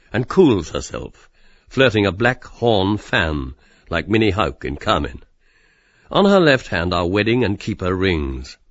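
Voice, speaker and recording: Deep low guy narrating an audiobook play, scripted, bit muffled. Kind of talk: scripted literary narration of stage directions.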